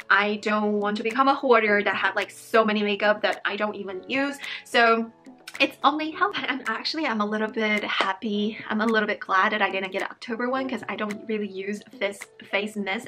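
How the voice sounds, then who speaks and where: Brief: young woman beauty vlogger, bubbly, a little hoarse, higher voice, music playing behind her. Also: bright, forceful tone. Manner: chatty and bubbly, repeats words. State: slightly hoarse, lost voice. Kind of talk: casual get-ready-with-me chat. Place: bedroom with dresser and fairy lights. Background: background music.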